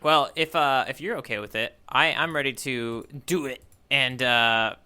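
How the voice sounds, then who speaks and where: A man's voice: medium pitch, a little slow, bright, forceful tone, clear, resonant tone. A man co-hosting a podcast, chatting casually about manga in a rambling, joking way. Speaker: podcast co-host.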